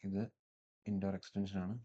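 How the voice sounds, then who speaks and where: A man's voice: sits low, completely flat delivery, moderate pace, dark tone, dull audio. A man with a Malayali accent giving an unscripted, matter-of-fact technical walkthrough, one step at a time. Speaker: tutorial presenter, installer.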